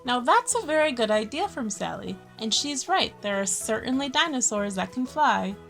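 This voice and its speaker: Expressive woman reading a picture book. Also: on the high side, vocal fry, soft background music, low-quality recording. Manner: expressive, slows and stresses key words. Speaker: children's story-time host and reader. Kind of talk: picture book read-aloud with friendly asides.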